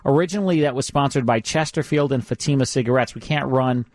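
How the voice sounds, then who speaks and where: A man hosts a late-night radio show from the studio. He talks in a loose, chatty way and circles back over the same points. His voice sits in a middle pitch, and the studio mic makes it close and dry. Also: fast talker, darkish tone, dry recording. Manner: chatty, rambling, repeats himself. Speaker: radio host. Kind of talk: casual studio banter between programs. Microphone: studio microphone.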